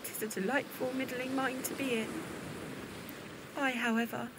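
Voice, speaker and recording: A woman's voice: high voice, little pitch movement, moderate pace, background noise. A woman playing a naive, earnest, lovesick young Victorian sister in an audio drama, speaking hesitantly. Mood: shaken. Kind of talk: period audio drama acting.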